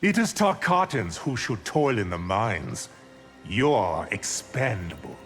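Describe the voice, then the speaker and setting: An actor playing an arrogant, scheming, cold sorcerer villain in a video game, with a haughty, theatrical, menacing delivery. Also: male voice, lowish voice, very animated, slow pace, fairly bright tone, big-room sound, soft background music, full character voice. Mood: contemptuous.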